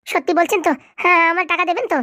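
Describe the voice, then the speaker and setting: A woman voicing a sly, flattering villager in a comedy cartoon, chatty and insistent. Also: extremely high pitch, racing, no room sound, studio quality. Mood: pleading. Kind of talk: amateur comedy cartoon dialogue.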